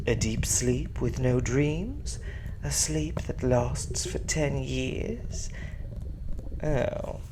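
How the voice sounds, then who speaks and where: A sly sea witch purrs in a low voice and drags out her words very slowly. She is greedy and condescending, slipping into breathy whispers in a theatrical ASMR roleplay. Noisy ambience sits behind her. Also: heavily acted. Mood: mocking, indulgent. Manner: theatrical, purring, condescending, drawn-out delivery. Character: sly, greedy, ageless sea witch villain running a spell shop. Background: potion-shop ambience and sound effects.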